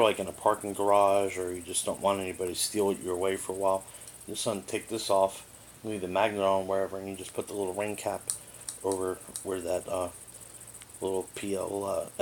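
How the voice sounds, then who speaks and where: A man's voice: low voice, level tone, a little fast. A man giving a casual hands-on product overview in a rambling, matter-of-fact way, recorded indoors on a tablet microphone. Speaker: product reviewer. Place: living room indoors. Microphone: tablet's built-in microphone. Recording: noisy amateur tablet recording.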